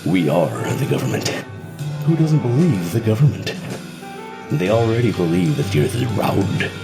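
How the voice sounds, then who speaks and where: A man's voice: lowish voice, sing-song, normal speed, over music. A man playing a domineering, cynical government official in an audio drama, commanding and smugly assured.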